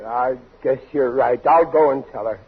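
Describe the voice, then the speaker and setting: A man's voice: medium pitch, moderate pace, close to an everyday voice. An actor playing an anxious, well-meaning, blundering husband and father in a 1940s radio comedy, plaintive and blustering. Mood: glum, worried. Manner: plaintive, blustering, working-class plain talk. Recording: tinny, worn 1940s radio recording.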